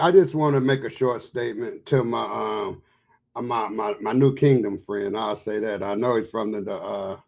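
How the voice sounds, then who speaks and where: Male panelist, gravelly voice; emphatic, rambling, presses to be heard. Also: fairly low pitch. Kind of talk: casual panel discussion.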